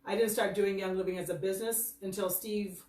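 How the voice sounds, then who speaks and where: A woman in her fifties with an American accent speaks at a middling pitch. She tells personal stories in a loose, rambling, self-deprecating way, as if on a casual livestream. The sound is roomy and a little distant from the microphone. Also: fairly even intonation, brisk, a little mellow. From Michigan, United States. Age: 50s. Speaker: host of her birthday livestream. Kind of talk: casual livestream storytelling and question answering. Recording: roomy echo, distant from mic.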